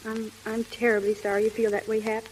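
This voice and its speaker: An actress playing a gentle, well-bred young woman with polite, proper diction in 1930s radio acting style. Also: on the high side, completely flat delivery, a little fast, lightly acted. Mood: flustered, apologetic. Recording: noisy, hissing 1930s radio recording.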